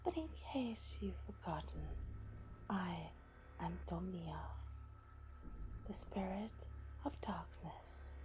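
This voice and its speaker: A sinister dark spirit played by a woman speaks in a slow, taunting whisper. She sounds menacing, like the mistress of a dark throne in an ASMR fantasy drama. A faint noise sits behind the voice. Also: lowish voice, expressive, poor audio. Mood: sinister welcome. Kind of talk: ASMR roleplay voice acting.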